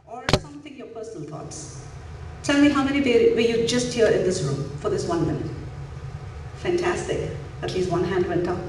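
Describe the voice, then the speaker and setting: A woman's voice: mid-range voice, normal speed, a little mellow, a little hiss or hum. A woman from India giving an interactive mindfulness talk to parents in a school auditorium, encouraging and asking the audience questions. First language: an Indian language. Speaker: mindfulness coach. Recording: echoey hall, faint room ambience.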